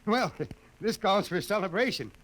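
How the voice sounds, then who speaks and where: An actor playing a jovial, folksy older small-town father with a hearty, drawn-out delivery in a 1950s radio drama. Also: sits high, animated, fairly bright tone, a little hiss or hum, poor audio.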